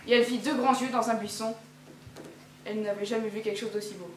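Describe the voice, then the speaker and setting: A teenage girl narrates a fairy tale in a measured storytelling way, her voice slightly high. She is recorded from far away in an echoing school hall. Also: steady tone, noisy background.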